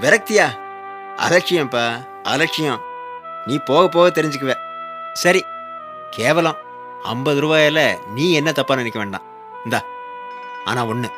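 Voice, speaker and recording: A man's voice: a little high, lively intonation, normal speed, long pauses, natural voice. Man acting a frank, admonishing older neighbour, music behind. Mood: scornful, advising. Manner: admonishing, rhetorical questioning. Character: frank, worldly-wise older male neighbour, scolding but caring. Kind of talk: Tamil radio drama acting.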